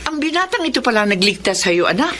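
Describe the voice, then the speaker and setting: Babaeng gumaganap na emosyonal na ina. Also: female voice, fairly high pitch, very animated, fast, sharp tone, slight background noise. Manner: emotional, tender. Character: emotional middle-aged wealthy mother. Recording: music behind.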